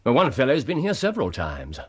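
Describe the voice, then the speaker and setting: An actor in a radio drama playing a brilliant, vain consulting detective who speaks with precise, lecturing self-assurance. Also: male voice, medium pitch, wildly swooping pitch, a little fast, slightly rough. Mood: self-assured.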